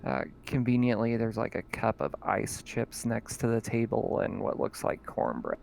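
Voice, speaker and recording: A man with a gravelly, slightly creaky voice runs a science-fiction tabletop game over voice chat. He talks in a relaxed, easygoing way, with plenty of ums and small laughs between lines. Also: on the low side, moderate pace, a little hiss or hum. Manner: relaxed, laughs often, many fillers. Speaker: game master.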